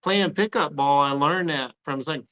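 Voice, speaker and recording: A man's voice: a little high, measured pace, sharp tone. A man hosting a podcast and trading coaching stories, acting out what he said to players. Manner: tells stories, acting out his own quotes. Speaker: podcast host, former assistant coach. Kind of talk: casual interview talk. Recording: slightly dull lo-fi mic.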